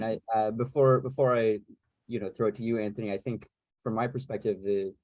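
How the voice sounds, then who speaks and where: A man's voice: lowish voice, dark tone, no room sound. A man moderating a casual Q&A over a video call, talking in a conversational way. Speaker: panel moderator.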